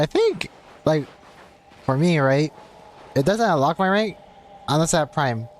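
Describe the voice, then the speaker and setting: A man's voice: a little high, slight background noise. A young man livestreaming a game, chatting casually in a rambling, conversational way. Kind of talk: casual chat while gaming. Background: game music.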